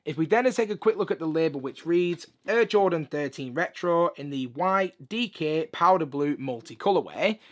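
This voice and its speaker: A hoarse man, chatting upbeat through a sneaker review in a room full of shoes. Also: fairly high pitch, expressive, moderate pace, hardly any pauses, fairly bright tone. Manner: chatty, upbeat, lots of filler phrases. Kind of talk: casual video review. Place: room with shoe collection.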